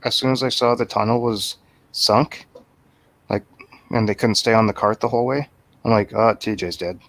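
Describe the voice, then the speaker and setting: A man's voice: fairly low pitch, fairly even intonation, moderate pace, fairly bright tone. A man chatting casually as a panelist in a movie review. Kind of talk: casual chat.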